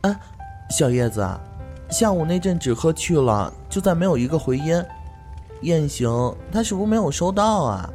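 A man's voice: a little high, big pitch swings, normal speed, darkish tone, faint music behind. A man playing a chatty, cheerful young heir. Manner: chatty, playful. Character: cheerful, easygoing young clan heir, older brother. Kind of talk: Chinese fan audio drama acting.

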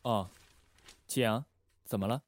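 A man playing a shrewd, authoritative secret-service chief in a Chinese audio drama, measured and wry. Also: lowish voice, slight background noise, close to an everyday voice. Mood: composed. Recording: music bed behind the voice.

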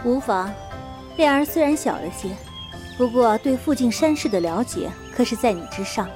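A woman playing a composed, authoritative mature female master in a Chinese period martial arts audio drama, formal and unhurried. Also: on the high side, animated, over music, close to an everyday voice. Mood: indulgent, stern.

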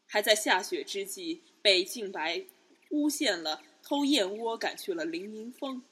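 A woman playing a stern, plain-spoken Buddhist nun in a Chinese palace radio drama, measured, subdued and grave. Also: medium pitch, lively intonation, a bit bright, breathy edge. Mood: grave, reproachful.

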